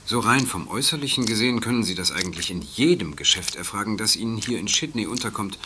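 A man playing a deadpan, obliging hotel porter in an improvised comedy radio play. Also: on the low side, fast talker, natural voice. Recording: noisy amateur 1980s radio-play recording.

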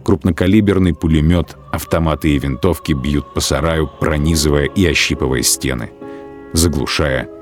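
A man narrating a radio drama in a grave, measured way. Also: very deep voice. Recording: radio play with loud music underneath.

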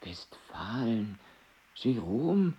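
Casting: unworldly husband, dark mellow tone, indifferent, expressive pitch, radio drama. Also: male voice, extremely slow, lo-fi sound. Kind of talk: radio play acting.

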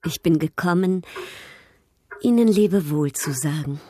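An actress playing a proud, worldly, coquettish baroness in a radio drama, speaking in a cultivated and slightly affected way. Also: female voice, fairly low pitch, expressive, measured pace, warm tone. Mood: gracious, evasive.

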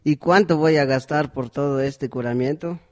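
A man's voice: fairly high pitch, moderate pace, natural voice. A man with a Guatemalan accent acting a humble, worried, courteous village father in an amateur radio drama. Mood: worried, polite. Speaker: actor in community radio drama.

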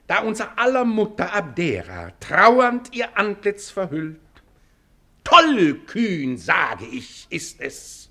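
An actor playing a pompous, sanctimonious defence advocate in a radio play, orating floridly in with theatrical hushes and swells. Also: male voice, a little high, exaggerated voice. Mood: solemn, horrified. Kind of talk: florid courtroom oratory in a German radio play.

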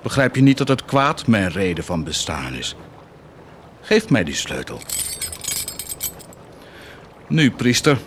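A man's voice: fairly low pitch, big pitch swings, takes it slowly, pauses often, a little hiss or hum, full character voice. An actor playing a cynical, blasphemous army commander who is proud of his wickedness, in a theatrical, declamatory radio drama style with defiant outbursts. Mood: brooding, scornful. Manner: declamatory, defiant, swinging from muted menace to outbursts.

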